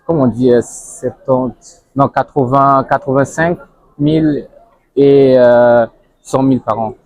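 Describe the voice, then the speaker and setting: A man's voice: medium pitch, fairly even intonation, slow, darkish tone, dry recording, low-quality recording. A young man giving casual interview answers, piecing them together with hesitations. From Zurich, Switzerland. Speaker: interviewee, banker and model.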